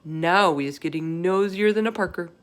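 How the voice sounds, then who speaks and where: A woman voicing a gentle, emotional storybook giant who talks in jumbled grammar and silly invented words. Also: lowish voice, normal speed, close to an everyday voice. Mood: emphatic. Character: gentle, tearful, kindly giant with jumbled childlike speech.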